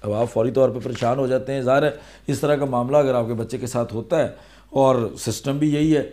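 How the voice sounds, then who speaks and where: A man with a Pakistani accent talks straight to his viewers in a vlog. His voice is mid-pitched with a soft, relaxed tone. He explains his advice in a conversational way, one step after another. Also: a little fast. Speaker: vlogger giving legal awareness advice.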